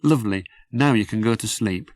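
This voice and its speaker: A man voicing a sensible, bossy girl rag doll, brisk and easily cross, in a children's storybook. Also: lowish voice, a little mellow, no room sound, clean studio sound, close to an everyday voice.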